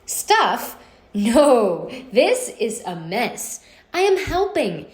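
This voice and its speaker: A fussy, bossy office woman, as a female story reader plays her. She is curt and insistent, pitched a little high. The sound is roomy and echoing. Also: very animated, drawn-out words, heavily acted. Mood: indignant.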